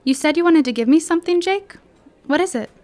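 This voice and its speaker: A woman's voice: high-pitched, animated, fairly quick, a little mellow. An actress playing an inquisitive teenage girl sleuth in a period audio drama, precise and a little mock-formal. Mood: curious, arch.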